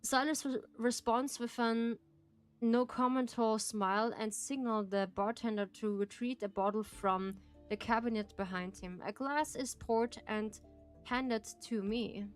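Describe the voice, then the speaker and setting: A woman's voice: on the high side, steady tone, unhurried, clear, resonant tone, soft background music. A woman with a German accent, a native German speaker, streaming and reading a game aloud in careful phrasing with frequent laughs.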